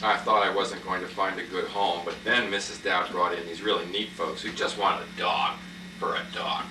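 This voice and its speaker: A man's voice: low-pitched, fairly even intonation, moderate pace, voice pushed down, close to an everyday voice. A man voicing a chatty, cheerful runt puppy telling its life story in an amateur puppet show. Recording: echoey, noisy room from far off.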